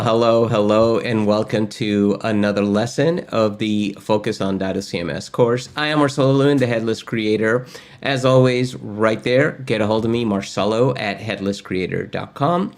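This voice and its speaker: A middle-aged man from Argentina, hosting a casual webinar in an upbeat, chatty way with many fillers, recorded at his desk in a home studio. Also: fairly low pitch. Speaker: host. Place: home studio with gaming chair.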